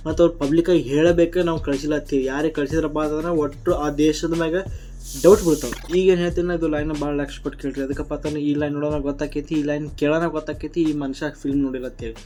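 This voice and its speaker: A man's voice: on the high side, fairly even intonation, fast talker, warm tone, a little hiss or hum. A man with a North Karnataka accent giving blunt, combative, colloquial reaction commentary. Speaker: YouTube commentator.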